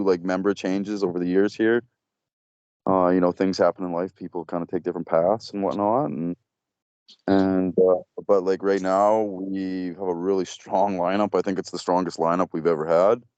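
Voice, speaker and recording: A man's voice: a little mellow, clean tone, dry recording, highs cut off. A young man, a metal band vocalist, giving casual interview answers with lots of fillers and restarts. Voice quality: low voice. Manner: rambling, many fillers and restarts.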